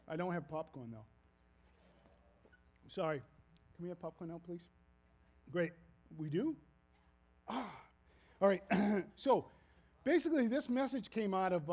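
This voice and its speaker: A man's voice: a little high, dark tone. A man in his fifties from Maine with a Maine accent, telling a rambling, folksy story as a preacher in a casual sermon. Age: 50s. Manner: rambling, folksy, self-deprecating, frequent restarts.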